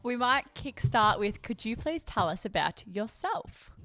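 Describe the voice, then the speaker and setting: A woman's voice: a little high, sing-song, unhurried, a bit bright, no room sound. A woman hosting an interview, polite and enthusiastic as she introduces her guest. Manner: polite, enthusiastic, uses "um" as filler. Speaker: interview host. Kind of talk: casual interview opening.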